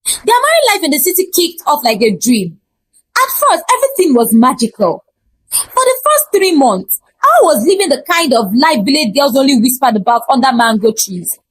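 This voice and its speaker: A woman telling a scripted folktale in an expressive, theatrical and humorous storytelling style. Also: high voice, low-quality recording.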